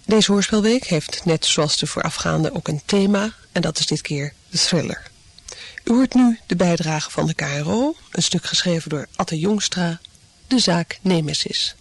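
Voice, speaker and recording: A man reading a formal, measured scripted introduction as a radio announcer. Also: a little high, slightly rough.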